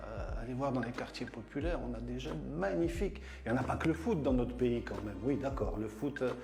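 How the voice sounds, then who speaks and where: A man's voice: mid-range voice, warm tone. A middle-aged man born and raised in France who leads a community association, talking in an interview monologue and repeating words for emphasis. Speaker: association leader. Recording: echoey room with music.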